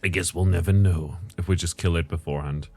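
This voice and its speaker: A man's voice: sits low, steady tone, quick pace, over music. A man giving casual gameplay commentary, thinking aloud with self-corrections, his voice strained lately.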